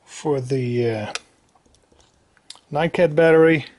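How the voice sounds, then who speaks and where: A man's voice: on the high side, darkish tone. Man casually demonstrating gear, faint noise. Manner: unscripted, halting, matter-of-fact. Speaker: radio hobbyist demonstrating equipment. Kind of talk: casual hands-on product demonstration.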